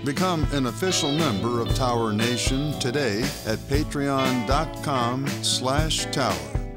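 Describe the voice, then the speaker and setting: A man reading the show credits and sponsor plug in a measured, unhurried voice. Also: medium pitch. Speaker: show announcer. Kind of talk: scripted credits read over music. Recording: voice over loud guitar music.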